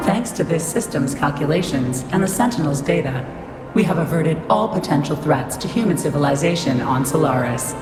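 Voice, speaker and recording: A cold, dutiful machine intelligence from a video game. It speaks low, in formal, detached declarations. The sound is heavily echoed, with clear music behind it. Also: female voice, lively intonation, moderate pace. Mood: unyielding, cold. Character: cold, impersonal computer system, dutiful and unyielding. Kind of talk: scripted game voice acting. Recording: echoing hall reverb, music behind.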